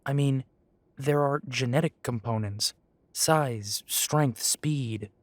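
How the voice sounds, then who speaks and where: Man playing a slow, guarded young werewolf. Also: mid-range voice, no echo, close to an everyday voice. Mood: doubtful, defensive. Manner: blunt, defensive, hesitant. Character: guarded, self-doubting young male half-breed werewolf. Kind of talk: scripted roleplay audio drama.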